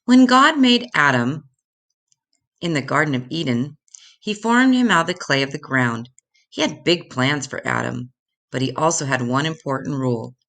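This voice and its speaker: An older woman reading Bible stories aloud to children in a deliberate, instructive way, addressing them directly. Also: deep voice, lively intonation, fairly bright tone.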